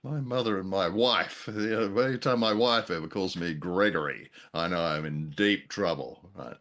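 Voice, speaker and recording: Very deep-voiced middle-aged man, casual chat. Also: normal speed, fairly bright tone. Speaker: podcast host. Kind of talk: casual film discussion.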